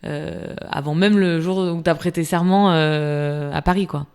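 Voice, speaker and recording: A woman's voice: sits low, fairly even intonation, a little slow, a little mellow, smooth. A woman hosting an interview, asking questions in a friendly way and checking her recall of what her guest has told her. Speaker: podcast host.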